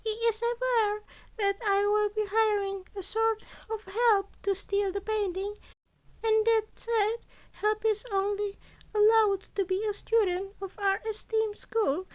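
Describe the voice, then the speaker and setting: Casting: small animal headmaster played by a woman, very high-pitched, formal, deliberate, calculating schemer for an audio story. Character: small scheming mammal school principal, male, formal and calculating.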